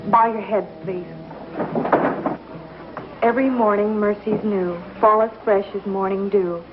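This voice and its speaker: Prim young frontier schoolmarm played by a woman, low-set proper voice, composed and earnest, vintage muffled TV western sound. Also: steady tone, measured pace, very noisy, natural voice. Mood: firm, composed. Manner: polite, proper, precise classroom diction. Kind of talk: 1950s television western acting. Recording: muffled old 1950s television soundtrack, some music.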